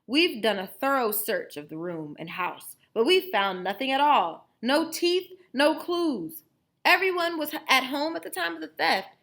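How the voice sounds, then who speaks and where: A woman's voice: high voice, animated, measured pace, fairly bright tone, pitched above the performer's own voice, heavily acted. A woman voicing a serious police officer in a children's story, giving a plain report. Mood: grave. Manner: serious, matter-of-fact report.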